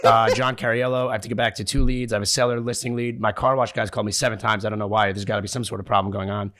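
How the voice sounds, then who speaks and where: A man's voice: fairly low pitch, little pitch movement, very fast, noisy background. A man, a podcast guest, talking in a rambling, anxious, self-deprecating way. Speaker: podcast guest, real estate agent. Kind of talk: casual podcast chat.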